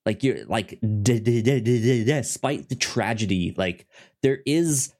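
A man talks casually about film as a podcast co-host. His voice sits fairly low and moves slowly. He often stammers and restarts, repeating words while he searches for the next thought. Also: lively intonation, a little mellow.

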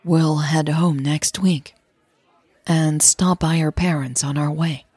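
A woman who is a native Dutch speaker, voicing a caring, guarded, gently wry woman in love in an audio story. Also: sits low, fairly even intonation, takes it slowly, breathy edge, no room sound, exaggerated voice. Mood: gentle, honest.

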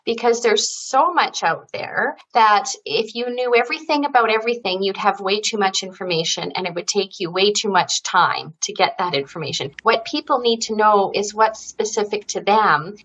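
A woman from Canada explains her field fluently and earnestly. She speaks at a middle pitch as an interview guest, with only the odd filler. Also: fairly bright tone, slightly rough, rough recording. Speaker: guest, senior care advisory service founder. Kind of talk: interview answers.

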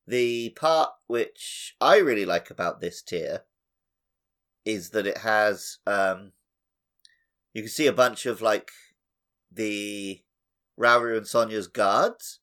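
A man's voice: on the low side, slow pace, pauses often, a bit bright. A man chatting casually as a podcast host.

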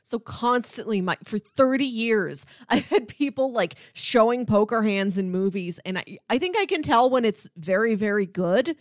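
A woman's voice: fairly high pitch, lively intonation, moderate pace, hardly any pauses, no room sound, studio quality. A woman co-hosting a film podcast, chatting casually in a conversational way. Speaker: podcast co-host. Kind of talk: casual film discussion chat.

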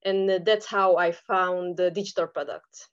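A woman's voice: fairly low pitch, steady tone, normal speed, dull audio. A woman from Romania with a Romanian accent, answering conversationally as an interview guest. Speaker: podcast guest, online seller.

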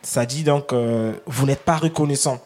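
A man's voice: medium pitch, normal speed, a little mellow. A man with a Congolese accent, a native Lari speaker and slam poet, explaining with emphasis and frequent repetition. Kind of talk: slam recital and explanatory talk.

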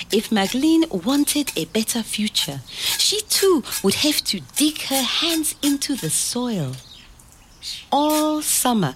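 A grandmother in an audio drama, fond and proud as she tells her story. Her delivery is unhurried and measured, with lively, swooping intonation that draws a young listener in. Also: on the high side.

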